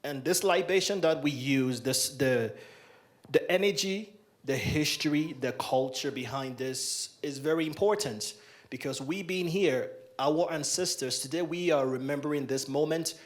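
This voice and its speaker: Brief: young man from Ghana, solemn ceremonial libation leader, slightly higher voice, handheld mic. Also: a little slow. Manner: solemn, repetitive invocations. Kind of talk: ceremonial address. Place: large indoor hall.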